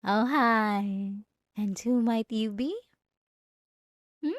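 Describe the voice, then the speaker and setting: A woman's voice: on the high side, drawn-out words, no room sound, clean studio sound. A woman acting out a possessive, jealous schoolgirl bully in a roleplay audio drama, taunting and sarcastic with sugary false politeness. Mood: falsely friendly, prying.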